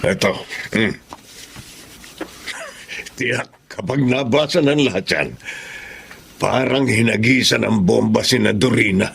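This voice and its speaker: A man's voice: fairly low pitch, takes it slowly, creaky, long pauses, fairly bright tone, slight background noise, exaggerated voice. An actor playing a cunning older Filipino father-in-law and politician in a radio drama, smug and reassuring as he schemes. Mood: sly, persuasive.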